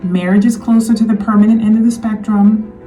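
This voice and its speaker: Slightly hoarse woman, earnest vlog talk. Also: medium pitch, steady tone, brisk, mellow, recorded from a distance, soft background music. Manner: earnest, repeats points to drive them home. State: slightly hoarse, losing her voice. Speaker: vlogger. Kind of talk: casual commentary monologue.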